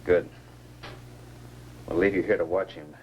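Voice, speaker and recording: A man's voice: deep voice, fairly even intonation, a little slow, darkish tone, highs cut off. An American actor playing a stern, pragmatic man who takes command, in 1950s science fiction film style. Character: stern, pragmatic man leading a monster hunt. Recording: hissy, humming 1950s film soundtrack.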